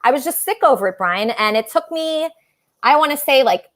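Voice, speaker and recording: A woman's voice: high voice, lively intonation, brisk, fairly bright tone. A woman hosting a casual livestream talk show, chatting with callers in a gushing, emphatic way.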